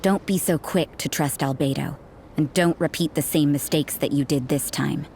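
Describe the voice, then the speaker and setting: Cold, guarded nun for a game, low-pitched, clipped delivery. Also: female voice, moderate pace, darkish tone, slight background noise. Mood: stern. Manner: cool, clipped and distrustful. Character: stern, suspicious adult nun, cold and guarded. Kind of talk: video game voice acting.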